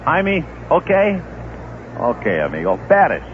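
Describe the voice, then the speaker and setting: A man doing live play-by-play for a baseball radio broadcast, telling relaxed anecdotes between pitches, on a tinny old radio recording. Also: measured pace. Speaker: play-by-play announcer. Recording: tinny lo-fi 1970s radio broadcast with faint hiss.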